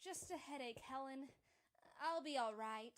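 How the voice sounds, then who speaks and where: Troubled young switchboard girl played by a woman, high voice, slow, hesitant and evasive, old-time radio play. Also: slight background noise. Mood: weary, evasive. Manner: hesitant, polite, deflecting. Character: troubled, evasive young female telephone operator.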